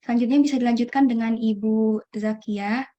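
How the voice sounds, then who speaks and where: Soft-toned young woman moderating politely. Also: a little high, steady tone, moderate pace, dull audio. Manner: courteous, calls on each participant in turn. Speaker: discussion moderator.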